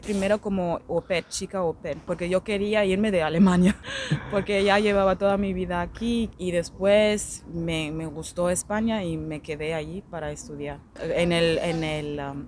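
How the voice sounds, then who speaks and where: A woman's voice: fairly low pitch, darkish tone. A young German woman, a guest in a casual interview, talking in a chatty way with frequent laughs and fillers. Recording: faint background noise.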